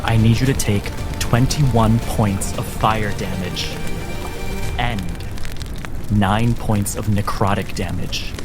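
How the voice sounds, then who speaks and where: Slow, low-voiced man narrating as game master. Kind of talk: dramatic tabletop game narration. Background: cinematic background music.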